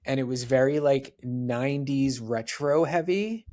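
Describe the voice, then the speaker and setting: A man's voice: medium pitch, a little slow, mellow. Chatty man in his forties. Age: 40s. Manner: chatty, lots of "like" and "right?" asides. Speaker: podcast guest. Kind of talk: casual interview chat.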